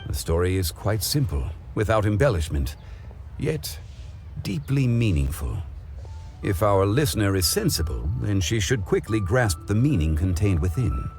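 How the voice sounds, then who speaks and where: An actor voicing a calm, wise male storyteller in a game, speaking in measured, formal phrases. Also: lowish voice, soft background music. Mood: thoughtful. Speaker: game character. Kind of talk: game cutscene voice acting.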